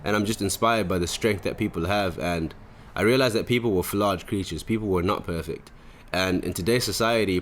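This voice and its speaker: A man's voice: low voice, slight background noise. A man, hosting a podcast in a casual, rambling, slangy way. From Zimbabwe. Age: 20s. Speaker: podcast host. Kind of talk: casual chat.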